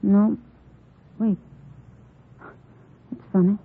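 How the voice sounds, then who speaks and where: An actress playing a courteous, slightly hesitant doctor's nurse in a 1940s radio drama. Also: female voice, medium pitch, level tone, extremely slow, vocal fry, breathy edge, heavily acted. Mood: helpful, unsure. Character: polite, helpful doctor's office nurse. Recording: hissy, tinny 1940s radio recording.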